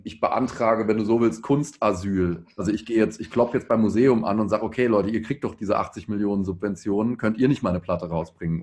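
A man's voice: lowish voice, darkish tone. A man in his thirties speaking reflectively in a panel discussion, tentative, in long winding sentences. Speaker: pop musician on a discussion panel.

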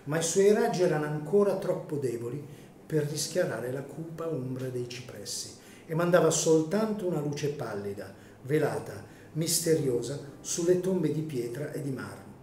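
A man narrating a gothic radio drama in a solemn, theatrical manner with deliberate phrasing. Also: on the high side, warm tone, a little hiss or hum. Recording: echoey room, music behind.

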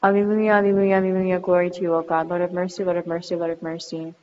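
A woman with a fairly low voice reads psalms and responses in a measured liturgical style. The sound is muffled, and a long reverb hangs behind her words. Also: flat delivery. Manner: measured, chant-like reading. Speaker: reader of psalms.

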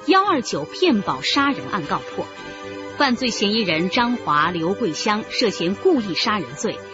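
A woman narrating a scripted radio drama in a solemn, formal manner. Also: medium pitch. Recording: dull sound under music.

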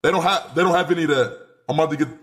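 A nineteen-year-old man streaming live from a small room on a headset mic, excitable and rambling, swearing freely. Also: on the high side, racing. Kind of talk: casual live stream chat.

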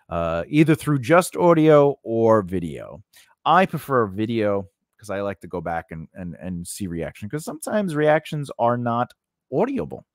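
A man in his forties giving an informal instructional talk, conversational and halting, often rephrasing himself as he goes. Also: fairly low pitch, no echo, studio quality. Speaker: host of a scriptwriting lesson. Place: small indoor recording space. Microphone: close studio microphone on a stand.

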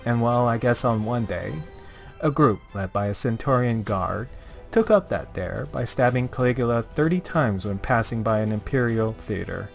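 A man's voice: mid-range voice, expressive, normal speed, creaky, faint music behind. A man giving dramatic scripted story narration in the theatrical manner of a showman.

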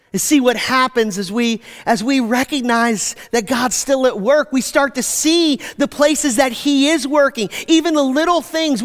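A man preaching from a church stage, unhurried and deliberate, with long reverb trailing his words through the hall. He talks with animation, and his voice sinks low at the close of each phrase. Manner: animated, gesturing, voice drops low at phrase ends. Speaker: pastor. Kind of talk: sermon. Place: church stage with screens, indoors.

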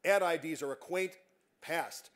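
A man's voice: on the high side, a little slow, a bit bright. A middle-aged man giving a conference keynote on a large stage, speaking emphatically and making confident predictions.

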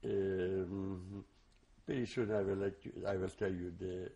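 Older man, Hungarian native, testimony interviewee; gravelly, slow, halting with restarts. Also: low voice, flat delivery, dark tone, muffled recording. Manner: halting, many restarts and fillers; slow, reflective recall. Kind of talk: oral history testimony.

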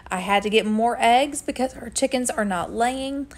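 A woman, casually chatting through her grocery haul and weekly meal plan at home. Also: on the high side. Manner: chatty, friendly, quick asides. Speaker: homestead vlogger. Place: home kitchen. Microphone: camera a few feet away.

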